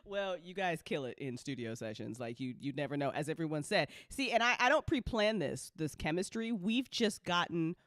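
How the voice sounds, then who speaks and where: A woman hosts a relaxed, informal chat. She gushes and swears freely, repeating herself for emphasis. Her pitch is very lively, and the sound is dry. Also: moderate pace, hardly any pauses, no echo. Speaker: host, audio drama writer and creator. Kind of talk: casual chat, live after show.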